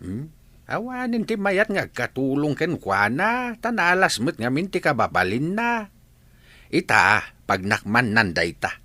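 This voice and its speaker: A gossipy old villager acted by a man, fairly high and forceful. He grumbles with lively swings of pitch, in radio drama style. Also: unhurried, no room sound, exaggerated voice. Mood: disapproving. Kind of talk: melodramatic Filipino radio-style drama acting.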